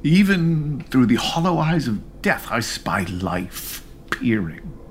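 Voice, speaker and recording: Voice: medium pitch, very animated, takes it slowly, slight background noise. An actor as Northumberland, a scheming noble. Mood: conspiratorial. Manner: grave, turns to a hushed whisper. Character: Northumberland, a plotting rebel nobleman. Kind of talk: Shakespearean audio drama.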